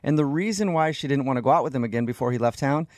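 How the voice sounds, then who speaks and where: Male radio host, American, relaxed casual banter, mid-pitched voice. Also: rapid-fire, no room sound, studio quality. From United States.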